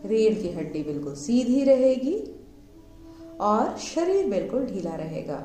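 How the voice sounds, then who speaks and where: A woman with an Indian accent guides a meditation, giving measured instructions in short phrases with pauses between them. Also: medium pitch, big pitch swings, dark tone. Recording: roomy echo, music underneath. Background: music.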